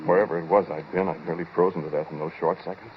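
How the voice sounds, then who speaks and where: An actor playing a haunted, obsessive scientist who narrates in halting, dramatic 1940s radio horror style, with deliberate pauses. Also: male voice, low voice, fairly even intonation, natural voice. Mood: hushed, uneasy. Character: haunted, obsessive male scientist narrating his strange experiment. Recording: dull vintage 1940s radio recording, loud music.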